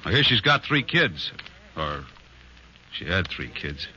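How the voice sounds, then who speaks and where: A man's voice: sits low, sing-song, takes it slowly, sharp tone, heavily acted. An actor playing a dutiful patrolman giving brief, deferential replies in a 1940s radio drama, on a hissy, dull old recording. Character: dutiful male patrolman at a crime scene. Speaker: uniformed patrolman.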